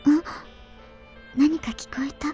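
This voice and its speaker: An actress voicing a gentle, childlike young woman in a visual novel, speaking softly and wistfully. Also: sits high, steady tone, normal speed, close to an everyday voice. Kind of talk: anime visual novel voice acting. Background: soft game music.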